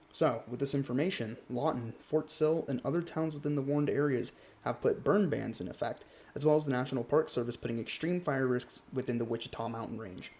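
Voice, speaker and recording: A man's voice: mid-range voice, darkish tone, a little hiss or hum, close to an everyday voice. A man playing an earnest local morning news anchor, reading scripted news.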